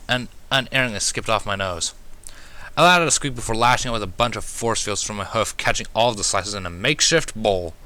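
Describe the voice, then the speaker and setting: A man reading fan fiction aloud as an expressive first-person narrator, with animated, self-mocking asides. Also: fairly low pitch.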